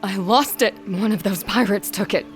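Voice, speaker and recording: A woman's voice: mid-range voice, normal speed, natural voice. A woman playing a guarded, grieving, driven secret agent in an audio drama, terse and intense. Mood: desperate, determined. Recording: music behind.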